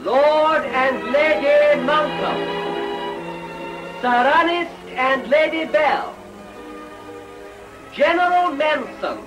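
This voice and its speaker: An actor playing a formal, ceremonious servant announcing guests at a reception in a period radio drama. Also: male voice, extremely high pitch, extremely slow, pauses often, highs cut off, over music, full character voice. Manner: stately, ceremonious.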